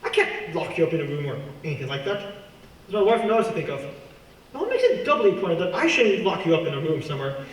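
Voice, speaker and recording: Genteel, menacing professor villain played by a man, high-pitched and swooping, theatrically regretful radio play delivery. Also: big pitch swings, fast. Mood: silkily menacing. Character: polite, menacing villain professor posing as respectable citizen. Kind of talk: stage-like radio play acting. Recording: distant, very echoey, noisy room.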